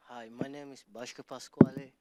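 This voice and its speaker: A teenage boy who grew up in Somalia and Kenya, speaking English as a learner and telling his story in simple, halting sentences. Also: medium pitch, flat delivery, very noisy. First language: not English. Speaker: student speaker. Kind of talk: personal testimony.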